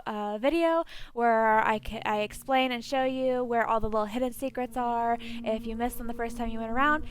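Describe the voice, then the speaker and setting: A woman's voice: fairly high pitch, smooth. A woman hosting a casual game walkthrough, chatting informally and addressing viewers directly. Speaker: gameplay video host. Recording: poor home mic, faint noise.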